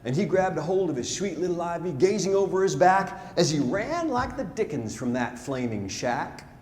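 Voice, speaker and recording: A man recites rhyming narrative verse on stage as a storyteller, dramatic and deliberate, swelling and then hushing his phrases. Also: a little high, sing-song. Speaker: storyteller performing a narrative poem. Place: large theatre, live stage performance. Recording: live theatre stage, long reverb, noisy.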